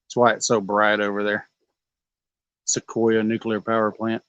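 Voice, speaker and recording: A man's voice: lowish voice, monotone, moderate pace, a bit bright, clean tone, no echo, dull audio. A man, joking and chatting casually while night fishing on a lake, coaxing the fish aloud. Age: 40s. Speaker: fishing vlog host.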